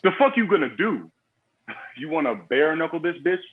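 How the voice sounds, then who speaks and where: A man's voice: a little high, big pitch swings, normal speed, slightly rough. A man acting a menacing, cocky drug dealer boss in a screenplay table read, taunting and foul-mouthed. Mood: threatening. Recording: muffled phone-like line, music behind.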